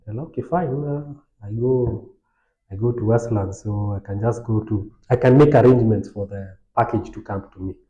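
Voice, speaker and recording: A man's voice: on the low side, normal speed, dark tone, roomy sound, lo-fi sound. A man on a podcast telling a personal story in a casual, anecdotal way, now and then dropping in Swahili phrases. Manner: anecdotal, light laughs, mixes in Swahili phrases. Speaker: podcast host.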